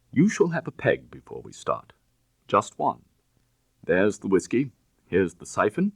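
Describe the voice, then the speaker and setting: A man voicing a suave, cynical gentleman burglar in an audiobook, with jaunty, teasing, old-fashioned gentlemanly slang. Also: low voice, vocal fry, husky, no echo, full character voice. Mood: brisk, hospitable. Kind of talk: dramatised audiobook reading of classic fiction.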